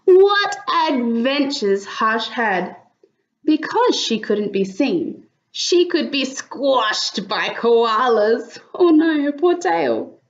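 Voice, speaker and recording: A woman reading a picture book aloud as an expressive storyteller, with dramatic emphasis for young listeners. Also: sits high, slow, highs cut off. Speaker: children's story reader.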